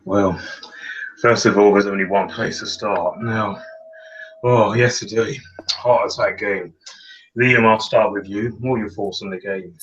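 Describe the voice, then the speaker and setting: A man's voice: lowish voice, steady tone, a little slow, dull audio, a little hiss or hum. A man hosting a casual podcast chat, asking each guest in turn with brief, friendly prompts. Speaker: podcast host.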